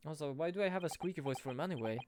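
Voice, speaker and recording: A man streaming a game playthrough, animated, swearing and laughing at himself as he reacts. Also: on the high side, racing, over music.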